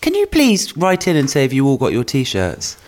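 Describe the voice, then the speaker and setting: A man's voice: mid-range voice, wildly swooping pitch, normal speed. A man co-hosting a podcast in casual chat, giggly and playful with frequent laughs. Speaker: podcast co-host.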